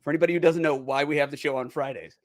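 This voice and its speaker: A man's voice: fairly high pitch, steady tone, racing. A man hosting a gaming podcast with casual, jokey banter and mock-grand flourishes. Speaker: podcast host.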